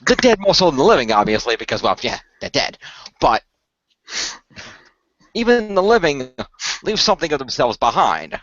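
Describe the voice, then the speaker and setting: A smug god of the underworld, acted by a man for a radio play, holds forth in a high, very animated voice. He is a sarcastic showman who mock-reassures his listeners. The audio is dry and a little muffled. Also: moderate pace, long pauses, no echo. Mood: smug, explaining. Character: fast-talking smug male god of the underworld. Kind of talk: hobby radio play acting.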